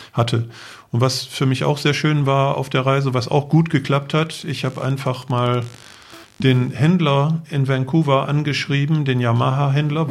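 A man's voice: medium pitch, gravelly. A middle-aged man casually telling detailed travel stories as a podcast guest, with enthusiasm.

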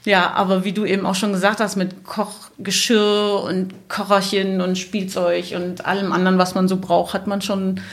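A woman's voice: medium pitch, flat delivery, moderate pace, few pauses. This is a middle-aged woman with a mid-range voice. She talks like a podcast guest sharing travel memories, informal and anecdotal, in a chatty colloquial flow. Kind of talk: casual travel storytelling.

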